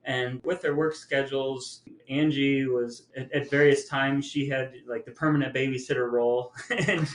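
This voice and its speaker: A man's voice: medium pitch, fairly even intonation, normal speed, few pauses, a little hiss or hum. Self-deprecating man, echoey room. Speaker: podcast host. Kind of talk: casual family reminiscing. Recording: echoey, roomy sound.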